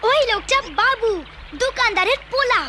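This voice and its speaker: A woman voicing a bright, helpful little village girl in a cartoon, with a rural Bangladeshi accent. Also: very high voice, normal speed, music in the background. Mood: eager. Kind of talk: cartoon voice acting.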